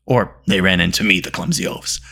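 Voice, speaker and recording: An irascible little male dwarf from a storybook, as a narrator puts him on. He grumbles and snaps with exasperation. His pitch jumps about in a very lively way. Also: moderate pace, husky, full character voice. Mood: exasperated.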